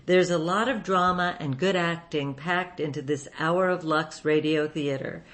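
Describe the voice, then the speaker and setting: Female radio presenter, very low register, measured scripted delivery. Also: a bit bright. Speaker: radio show host. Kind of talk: scripted introduction.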